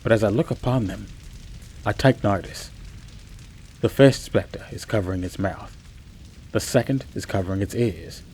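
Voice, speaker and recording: A low-voiced man played by an actor narrates his own eerie tale for a radio play. He is chatty and bewildered, with theatrical pauses and conversational asides. Faint noise runs behind the voice. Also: a little mellow, close to an everyday voice, low-quality recording. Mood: fearful, dramatic. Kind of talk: first-person scripted story narration, radio play.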